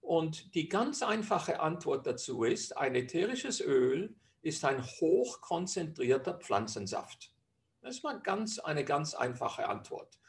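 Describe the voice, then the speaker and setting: A man's voice: a little high, very animated, slow. A man from Switzerland with a Swiss German accent, a physician presenting a webinar lecture over slides in a chatty way with frequent hesitations.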